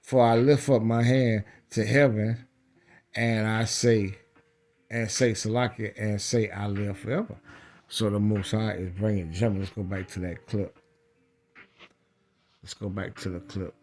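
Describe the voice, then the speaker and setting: A man's voice: lowish voice, fairly even intonation, measured pace, pauses often, a little mellow. A man preaching in a scripture read-along, emphatic, repeating phrases and checking in with rhetorical questions.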